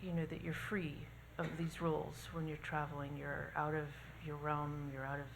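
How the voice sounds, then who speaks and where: Low, flat-voiced woman from the audience. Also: moderate pace, gravelly, background noise. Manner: searching, hesitant phrasing. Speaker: audience member.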